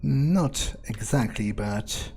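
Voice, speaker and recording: A man doing in-character roleplay commentary over a video game, thinking aloud in a sardonic, amused way with halting phrasing. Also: mid-range voice, animated, warm tone, faint music behind. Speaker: gamer playing in character.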